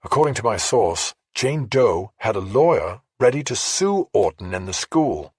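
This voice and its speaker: A man voicing a measured, matter-of-fact female investigative journalist in an audiobook, explaining things carefully. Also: lowish voice, very animated, a little mellow, husky, no room sound, studio quality. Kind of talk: audiobook character dialogue.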